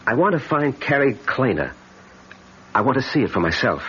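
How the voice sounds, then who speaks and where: An actor playing an earnest, brooding husband in an old-time radio drama, speaking dramatically and at times haltingly. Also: male voice, medium pitch, big pitch swings, fairly bright tone. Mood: earnest, guarded. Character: brooding, earnest husband obsessed with his family's lost castle. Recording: muffled old radio recording, faint noise.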